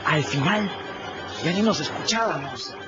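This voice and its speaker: A man with a Peruvian accent narrates in the first person for a radio drama, his voice moving lively up and down. The audio is dull, and music plays underneath. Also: on the high side, unhurried, a crowd in the background. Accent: Peruvian Spanish. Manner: storytelling, expressive.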